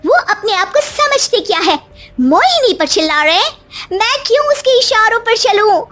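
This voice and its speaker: A woman's voice: extremely high pitch, big pitch swings, moderate pace, a bit bright, soft background music, pitched above the performer's own voice, heavily acted. A woman voicing a spoiled, brash young daughter-in-law in a cartoon story, sassy and dismissive, dropping in English words. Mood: indignant.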